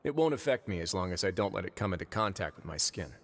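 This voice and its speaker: Composed, low-voiced prison duke. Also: male voice, lively intonation, a little hiss or hum. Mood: wry, calm. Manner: measured, formal, dryly understated. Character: composed, authoritative prison fortress duke, adult man. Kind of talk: video game voice acting. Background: faint music.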